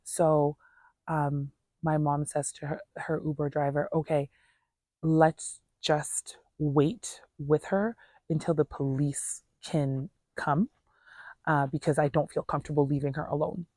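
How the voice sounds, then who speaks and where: Woman, deep-pitched voice, casual storytelling, earnest and conversational, close dry sound. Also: level tone, unhurried, dark tone, no echo. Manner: earnest, conversational, acts out reported dialogue. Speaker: storyteller vlogger.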